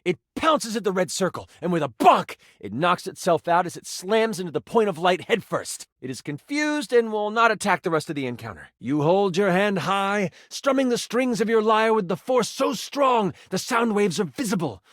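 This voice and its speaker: A man's voice: sits high, sing-song, normal speed, hardly any pauses, a bit bright, no room sound, clean studio sound, exaggerated voice. An actor playing a game master, a man narrating a fantasy quest in a theatrical, suspenseful and emphatic way. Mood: dramatic, excited.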